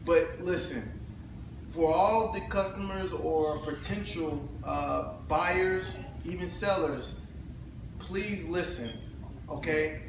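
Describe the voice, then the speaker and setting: A man, co-hosting a casual chat about home buying as a realtor, talking in an animated way with playful banter and repeated phrases. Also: fairly high pitch, slow pace, creaky. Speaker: realtor co-host. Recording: echoey room from far off, noisy.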